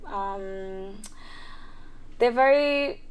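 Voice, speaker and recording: Young woman, casual interviewee, mid-range voice, relaxed filler-heavy delivery. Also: mid-range voice, very slow, slight background noise. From Trinidad and Tobago. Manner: chatty, frequent "um" fillers and soft laughs. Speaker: singer-songwriter guest.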